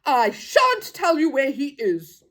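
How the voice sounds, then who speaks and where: Woman doing a cross, drawn-out stubborn policeman. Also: sits high, huge pitch swings, fairly bright tone, pitched above the performer's own voice, full character voice. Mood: cross, stern. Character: cross, stubborn male toy-town policeman.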